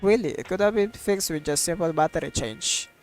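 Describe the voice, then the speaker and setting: A woman's voice: lowish voice, a little fast, a little mellow. A woman livestreaming a game in a casual, slangy way, commenting and reading dialogue aloud with some stumbles. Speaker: streamer. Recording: game music behind the voice.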